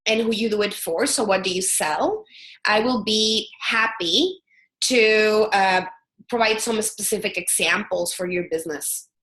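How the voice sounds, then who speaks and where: A woman's voice: mid-range voice, bright, forceful tone, reverberant. A woman in her thirties from El Salvador with a Salvadoran Spanish accent, giving an earnest webinar presentation as a product strategist, with frequent fillers and restarts.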